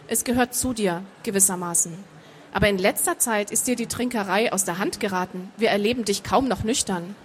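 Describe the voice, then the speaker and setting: A woman voicing a calm, caring man in a storybook, quietly reasoning and insistent. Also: fairly high pitch, normal speed, a little hiss or hum, close to an everyday voice. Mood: gentle concern.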